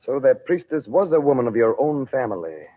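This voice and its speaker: An actor playing an earnest, ardent hero and magician in an old-time radio drama. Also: male voice, medium pitch, very animated, brisk. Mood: serious, confiding. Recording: thin, phone-like old radio recording.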